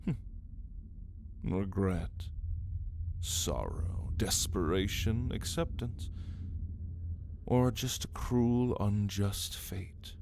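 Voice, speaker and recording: A man playing an ancient, arrogant male vampire in an ASMR roleplay, taunting the listener theatrically with drawn-out, partly whispered words. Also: fairly low pitch, animated, gravelly, a little mellow, a little hiss or hum, exaggerated voice. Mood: musing, taunting.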